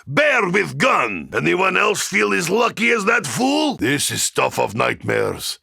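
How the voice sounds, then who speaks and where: Gruff russian soldier from a video game, super gravelly and raspy, broken english, boastful and hot tempered. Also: male voice, high-pitched, wildly swooping pitch, slow, sharp tone, no echo, studio quality, exaggerated voice. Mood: fierce, triumphant. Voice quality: gravelly, rough. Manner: broken English, dropping articles, speaking of himself by name. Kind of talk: video game character voice lines.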